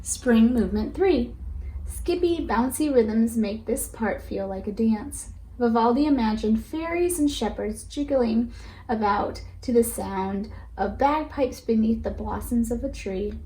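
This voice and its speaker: Higher-pitched, mellow woman reading aloud. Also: a little slow. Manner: instructive, addresses listeners with questions and prompts. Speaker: teacher reading a book aloud to a class. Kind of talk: picture-book read-aloud for children, explanatory. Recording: roomy echo with faint background noise.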